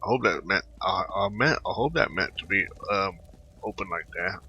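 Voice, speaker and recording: A man giving casual, halting commentary over a video game playthrough in short muttered reactions. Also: sits low, animated, pushed and bright, breathy edge, muffled recording. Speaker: gaming video maker.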